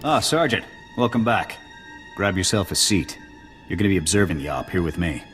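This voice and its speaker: A commanding military officer in a video game. He has a low voice and a gruff, authoritative briefing manner, over faint music. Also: expressive, fairly quick, fairly bright tone. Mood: matter-of-fact. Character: gruff commanding male army lieutenant. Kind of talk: video game cutscene acting.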